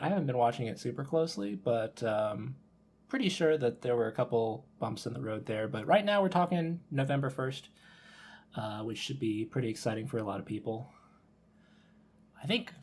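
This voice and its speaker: A man's voice: medium pitch, expressive, normal speed, vocal fry, a little mellow, slight background noise. A man hosting a podcast in a casual chat, feeling his way through sentences with tentative phrasing. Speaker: podcast host.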